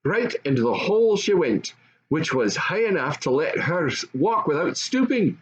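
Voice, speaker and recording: A man's voice: a little high, sing-song, moderate pace. An older man reads a children's story aloud expressively, as an amateur storyteller. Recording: dull lo-fi home recording, faint noise.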